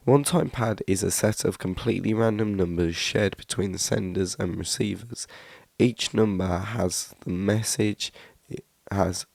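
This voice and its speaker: A man narrates a documentary script in a deep voice with a gravelly edge. The sound is dry, with no room around it. He trips over words now and then and restarts his phrases. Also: a little mellow, dry recording. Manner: reads a script with frequent slips and restarts.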